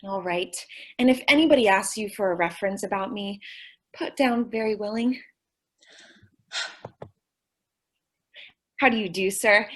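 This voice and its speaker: A woman's voice: mid-range voice, a little fast, fairly bright tone, natural voice. A woman playing a ditzy, cheerful and naive young working woman in old-time radio comedy style. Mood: flustered. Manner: naive, earnest, mangles what she means to say.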